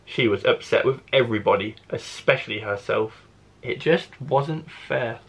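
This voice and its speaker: Slightly husky man reading a children's story. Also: mid-range voice, normal speed, fairly bright tone. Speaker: primary school teacher, storyteller.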